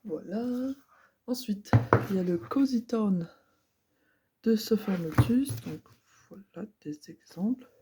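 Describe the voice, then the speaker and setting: A woman's voice: mid-range voice, animated, takes it slowly, pauses often, very noisy. A woman in her twenties with a stuffy nose from a cold, chatting casually and hesitantly about her crafts. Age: 20s. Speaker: craft vlogger. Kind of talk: casual chat.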